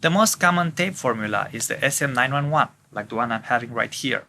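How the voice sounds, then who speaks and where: A man's voice: medium pitch, lively intonation, a little fast, sharp tone. A man reads scripted instructions as an instructor, in a formal, methodical, step-by-step way.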